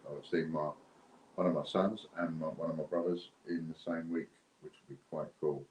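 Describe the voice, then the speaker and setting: A man's voice: rumbling low, little pitch movement, moderate pace, darkish tone. A middle-aged British man hosting an online giveaway stream, chatting casually to viewers in a rambling, unscripted way. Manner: rambling, unscripted, thinking aloud. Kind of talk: casual livestream chat to viewers. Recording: roomy echo with faint background noise.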